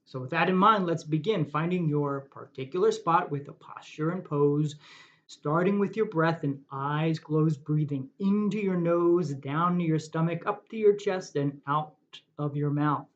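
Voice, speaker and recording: A man guiding a meditation in a calm, measured way, asking reflective questions. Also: a little high. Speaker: meditation guide. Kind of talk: guided meditation. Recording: dull lo-fi recording.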